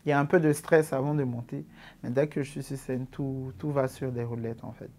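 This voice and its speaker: A man in his twenties with an Ivorian accent, answering interview questions about his comedy in a relaxed way, with many fillers. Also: mid-range voice, brisk. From Ivory Coast. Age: 20s.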